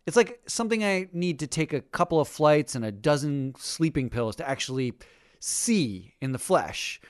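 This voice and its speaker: A man's voice: a little high, animated, normal speed, no echo, clean studio sound. A man with an American accent hosting a podcast in a playful, emphatic, conversational but scripted way. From United States. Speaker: podcast host.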